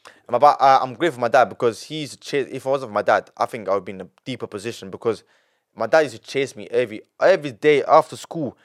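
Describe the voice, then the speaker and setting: A nineteen-year-old man, a podcast guest telling his story in an animated way, full of repetition and street slang. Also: medium pitch, fast talker. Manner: animated, repeats himself, heavy street slang. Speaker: young entrepreneur guest.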